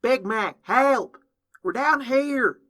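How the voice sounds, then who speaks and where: Storybook role: blunt big-sister mare from the farm, emphatic, bright forceful delivery male reader performing. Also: medium pitch, moderate pace, no echo, clean studio sound, close to an everyday voice. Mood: shouting for help.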